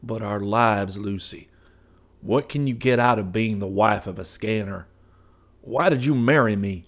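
A man's voice: lowish voice, unhurried. A man voicing a weary, sorrowful husband. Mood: bitter anguish. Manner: sad, pleading, obstinate. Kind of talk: audiobook character voice.

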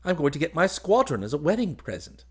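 A man's voice: mid-range voice, wildly swooping pitch, moderate pace, husky, voice pushed up. A man voicing a boyish, happy young army officer in a story, with eager, exclamatory chatter. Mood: eager, puzzled. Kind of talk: dramatised audiobook reading.

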